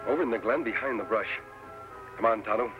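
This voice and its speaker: An American actor playing a steady, commanding masked cowboy hero in an old-time radio western. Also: male voice, lowish voice, a little fast, close to an everyday voice, rough recording. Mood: resolute. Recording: old radio broadcast with music behind.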